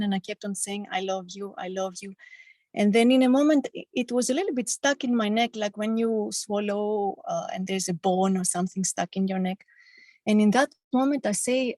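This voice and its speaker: A woman whose first language is Greek recounts a personal experience on a video call, pausing and restarting as she searches for words. Her voice sits at a middle pitch, and the sound is close and dry, with no room around it. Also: darkish tone, dry recording. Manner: slow, halting, reflective storytelling. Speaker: satsang participant.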